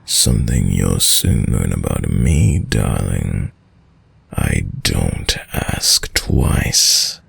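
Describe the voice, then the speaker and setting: A man playing a sadistic, dominant vampire in an intimate audio roleplay, speaking in a commanding, silkily menacing and condescendingly tender way, deep and breathy. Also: big pitch swings, a bit bright, no echo, full character voice. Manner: slow, commanding, condescendingly tender, often dropping to whispers. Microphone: close, dry studio mic.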